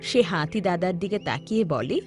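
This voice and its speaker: A woman's voice: lowish voice, animated, normal speed. A woman narrating a scripted children's cartoon story. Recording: background music under the voice.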